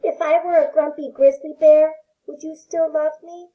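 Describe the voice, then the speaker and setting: A woman's voice: high voice, steady tone, moderate pace, dull audio, pitched above the performer's own voice, close to an everyday voice. A woman voicing a small, grumpy, needy young creature from a picture book, in a childlike, plaintive, questioning way. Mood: anxious, questioning.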